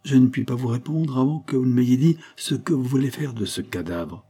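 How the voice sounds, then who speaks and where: A man voicing a wary male neighbour, a musician, in an audiobook, plain-spoken, measured and firm. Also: medium pitch, warm tone, pitched above the performer's own voice, lightly acted. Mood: guarded, firm. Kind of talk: audiobook character dialogue.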